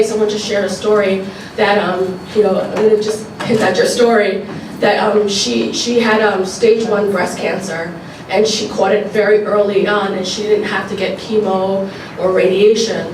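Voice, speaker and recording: A woman legislator with a stuffy nose, giving casual remarks at a community forum, with many fillers. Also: medium pitch. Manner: informal, filler-heavy, repeats phrases. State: stuffy from allergies. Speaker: assemblywoman. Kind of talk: public forum speech. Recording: echoey hall, distant mic, noisy.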